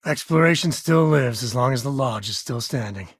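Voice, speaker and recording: Male actor playing wry American space ranger, very husky gravelly voice, folksy drawl, easygoing, middle-aged, video game dialogue, close dry recording. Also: mid-range voice, moderate pace, dry recording, lightly acted. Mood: matter-of-fact. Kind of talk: video game voice acting, naturalistic dialogue lines.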